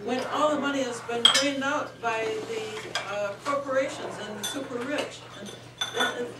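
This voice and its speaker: A woman speaking from the floor at a meeting in a restaurant, halting and indignant, with many pauses. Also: high-pitched, steady tone, very noisy. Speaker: audience speaker. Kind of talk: impromptu floor speech. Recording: distant, echoey room with loud dish clatter.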